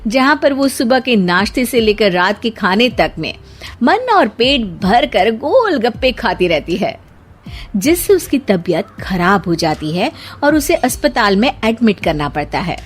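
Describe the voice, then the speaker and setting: A woman narrating a scripted cartoon family story in an expressive storytelling manner. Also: a little high, measured pace.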